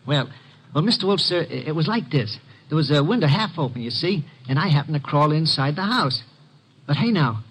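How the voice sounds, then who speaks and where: An actor playing a nervous, stammering sneak thief with slangy, uneducated speech in an old radio detective drama. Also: male voice, a little high, expressive, a little fast, a bit bright, breathy edge, full character voice. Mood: nervous, evasive. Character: nervous, very tall small-time sneak thief. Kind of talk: 1940s-50s radio detective drama acting.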